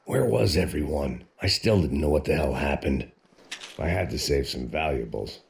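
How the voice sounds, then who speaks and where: Casting: jaded American game hero, middle-aged, extremely low voice, deadpan noir narration, some background ambience. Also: male voice, normal speed, creaky, slight background noise. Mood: weary, brooding. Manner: world-weary, deadpan, sardonic.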